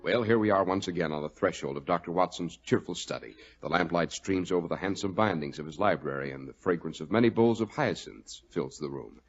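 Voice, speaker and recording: A man's voice: deep voice, fairly quick, few pauses, faint music behind. An American man announcing in scripted 1940s radio style, with a polished, emphatic sales delivery. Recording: dull vintage 1940s radio recording.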